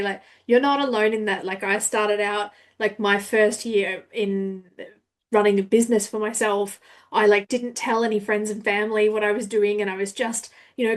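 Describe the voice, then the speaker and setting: A woman hosting a podcast, chatty and enthusiastic, with many casual fillers. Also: mid-range voice. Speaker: podcast host. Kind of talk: casual interview conversation.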